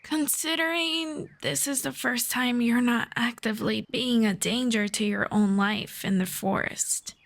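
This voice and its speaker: A woman voicing a gentle, teasing young elf friend in an unhurried fantasy roleplay audio drama in ASMR style. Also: a little high, fairly even intonation, creaky. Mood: amused, teasing.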